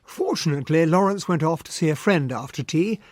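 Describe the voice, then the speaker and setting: A man playing a genial, gentlemanly English army captain in a radio drama, well-spoken and polite, with an English accent. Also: a little high, lively intonation, brisk, a little hiss or hum, natural voice. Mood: reflective narration. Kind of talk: radio drama acting and first-person narration.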